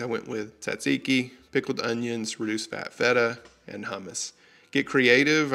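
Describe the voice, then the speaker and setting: A man in his thirties calmly walking through a recipe step by step as a cooking channel host, recorded in a home kitchen on a studio mic. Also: mid-range voice, vocal fry. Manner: friendly, measured instructional delivery. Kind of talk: scripted recipe walkthrough. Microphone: studio mic on stand in frame.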